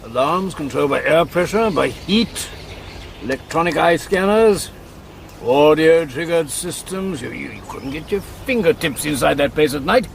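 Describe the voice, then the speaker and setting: Radio drama: older gentleman colonel and reluctant crook, courteous, doubting, creaky voice pitched fairly high. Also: lively intonation, normal speed, a little hiss or hum. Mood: sceptical, exasperated.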